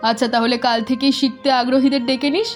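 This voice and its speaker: Woman playing a caring middle-aged village mom, fairly high voice, gentle and welcoming, soft music behind. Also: fairly even intonation, fast talker, close to an everyday voice. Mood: motherly. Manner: gentle, motherly, hospitable. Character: caring village mother, warm and hospitable. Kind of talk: animated cartoon dialogue.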